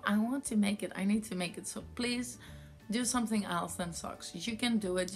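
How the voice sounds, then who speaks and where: A woman from France, a yarn dyer telling a story in a casual vlog, rambling with many fillers. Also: medium pitch.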